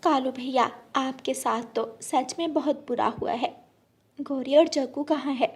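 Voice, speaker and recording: A woman voicing a kindly, trusting mother sparrow in a gentle, caring way for a moral-story cartoon. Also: high voice, unhurried, slightly rough, exaggerated voice. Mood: sympathetic.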